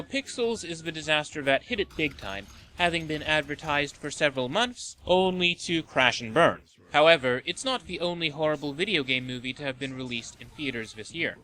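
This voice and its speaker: A man's voice: a little high, animated, normal speed, hardly any pauses, sharp tone, poor audio. A man hosting and narrating a scripted video essay in an opinionated, sardonic manner. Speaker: host of a video game movie list show.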